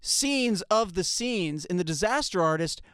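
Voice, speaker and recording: Male interviewer, high smooth voice, quick, laughing interjections. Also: animated, fairly bright tone, dry recording, clean studio sound. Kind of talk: casual interview talk.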